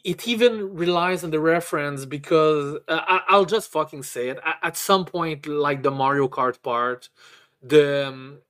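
A man with a slightly high voice talks casually as a podcast co-host. He stammers and restarts often, repeating words as he lays out blunt, sweary criticism of a film. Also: fairly bright tone. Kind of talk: casual movie review chat.

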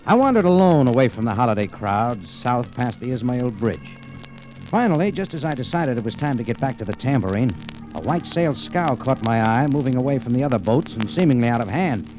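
An actor playing a hardboiled American adventurer, narrating and talking tersely and wryly in 1940s radio noir style. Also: male voice, medium pitch, animated, a little fast, darkish tone, soft background music, natural voice, poor audio. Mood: wry narration. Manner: terse, world-weary, wry.

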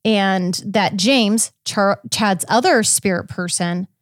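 A woman hosts a podcast in a chatty, informal style. Her voice sits at a middling pitch for a woman. The sound is dry and close, and she retells events conversationally, as if to a friend. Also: slow pace, dry recording. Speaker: podcast host. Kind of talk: casual conversational recap of a court case.

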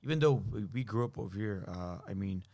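A man's voice: lowish voice, expressive, brisk, dark tone, no room sound. An Armenian-American man, a guest in a casual chat, explaining things thoughtfully with frequent fillers. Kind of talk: casual conversation.

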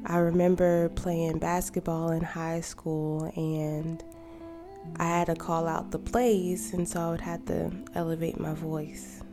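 A woman in her thirties, a podcast co-host, talking calmly and reflectively in a quiet, soft voice. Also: low-pitched, unhurried. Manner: calm and gentle. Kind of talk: reflective personal monologue. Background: music.

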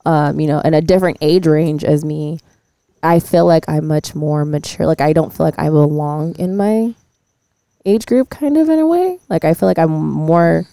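A woman's voice: deep voice, vocal fry, mellow, dry recording, clean studio sound. A woman in her twenties hosting a podcast, chatting casually and swearing freely as she retells stories. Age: 20s. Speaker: podcast host. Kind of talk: casual chat.

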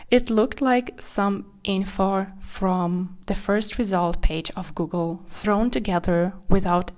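Woman podcast host, from Kazakhstan, candid and reflective, medium pitch. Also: a little mellow. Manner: candid, reflective, with small slips of grammar. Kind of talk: solo podcast monologue.

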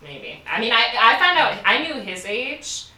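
A woman's voice: fairly high pitch, sing-song, moderate pace, pushed and bright, recorded from a distance. A young woman from Baltimore telling a candid story in casual chat, laughing often and filling her talk with "like". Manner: candid, laughing, many "like" fillers. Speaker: podcast co-host. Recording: echoey room, off-mic.

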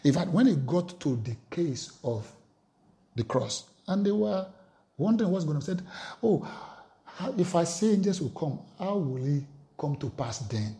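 A man's voice: on the high side, very animated, unhurried, dark tone. A man preaching a sermon, reading scripture aloud with emphatic, rising delivery.

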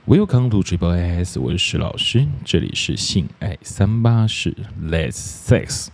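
A man's voice: low-pitched, big pitch swings, unhurried, slight background noise. A man hosting a podcast, talking casually in the role of a teacher to his students. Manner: plays a teacher addressing listeners as students. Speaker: podcast host. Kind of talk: casual interview chat with scripted sponsor reads.

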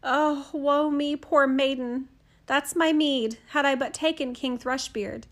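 A woman voicing a proud young princess who laments in a high, drawn-out voice. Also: fairly even intonation. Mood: lamenting.

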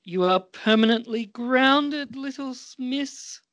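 A woman playing a stern but yielding mother in an amateur radio play, measured and resigned. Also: sits high, a bit bright, no room sound. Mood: scolding. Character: stern mother who reluctantly gives in to daughter.

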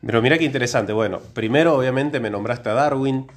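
A man's voice: mid-range voice, fast talker, faint music behind. A man from Córdoba with an Argentine accent, co-hosting a casual podcast conversation. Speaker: podcast co-host, biologist.

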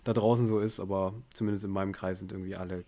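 A man's voice: little pitch movement, rapid-fire, warm tone. A man hosting a podcast, unwell with a headache, rambling casually with many fillers. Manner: rambling, many fillers, tired and low-key. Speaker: podcast host. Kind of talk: casual chat. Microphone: remote call via bot.

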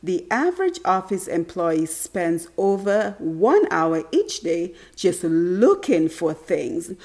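Talk show host, woman, a bit hoarse and stuffy, animated pitch, talks directly to listeners. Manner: upbeat, earnest, speaks straight to listeners. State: congested, slightly raspy from allergies. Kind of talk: motivational talk show monologue.

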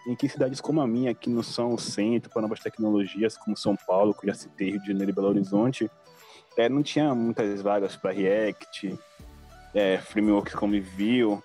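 A man's voice: on the low side, steady tone, brisk, warm tone, soft background music. A man, an intern chatting casually in an interview. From Salvador, Bahia, Brazil. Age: 20s. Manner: chatty, addresses listeners as "cara".

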